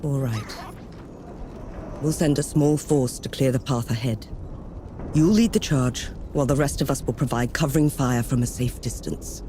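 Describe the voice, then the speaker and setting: An actress playing a stern, skeptical field marshal in a game cutscene, curt and authoritative. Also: female voice, extremely low pitch, moderate pace, dark tone, a little hiss or hum. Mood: commanding. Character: stern, skeptical female field marshal, battle-worn commander. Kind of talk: video game cutscene acting.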